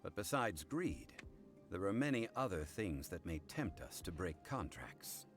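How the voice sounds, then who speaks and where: A composed, formal guardian of contracts in a game. His voice is very deep yet expressive, and he speaks in slow, measured phrases. Faint game music runs underneath. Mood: stern. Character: calm, formal man, keeper of contracts.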